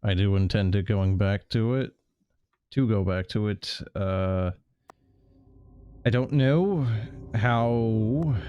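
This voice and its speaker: A man's voice: on the low side, warm tone, highs cut off. A man doing casual game stream commentary in a rambling, sardonic, sweary way. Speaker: livestreamer.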